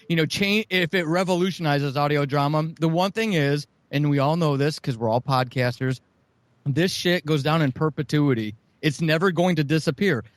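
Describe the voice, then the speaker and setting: Middle-aged man, podcast guest, rambling and profane, slightly high voice, dead-dry sound. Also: no echo. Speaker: podcast guest, audio drama writer. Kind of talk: casual podcast interview.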